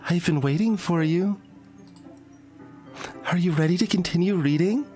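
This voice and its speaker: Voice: mid-range voice, normal speed, dark tone, faint music behind, natural voice. A streamer voicing a sweet, welcoming teenage club girl from a visual novel game.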